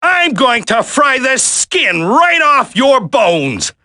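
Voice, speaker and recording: A woman's voice: high voice, very animated, takes it slowly, sharp tone, full character voice. A woman voicing a gleefully sadistic, hot-tempered video game villain, gloating and taunting. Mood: gleeful, menacing.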